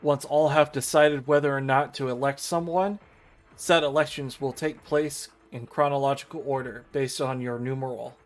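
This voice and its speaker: A man streaming a visual novel, reading the game text aloud in a formal, measured storytelling style. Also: mid-range voice, steady tone. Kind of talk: dramatic read-aloud narration of game text. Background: video game music.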